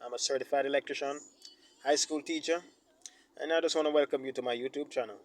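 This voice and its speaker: A man with a Jamaican accent, an electrician who hosts his own channel, answering interview questions about his life in a casual, unhurried way with frequent ums and you-knows. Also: mid-range voice, steady tone. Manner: unhurried, pauses often with "um" and "you know". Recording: noisy background.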